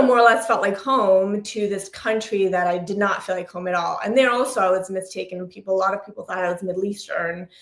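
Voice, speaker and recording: A woman with an American accent speaking reflectively and casually as an interview guest, often restarting and rephrasing her thoughts. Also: mid-range voice. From Sri Lanka, United States (Massachusetts) and France. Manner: reflective, self-correcting, stop-and-start. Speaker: interview guest, producer and journalist. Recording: roomy echo.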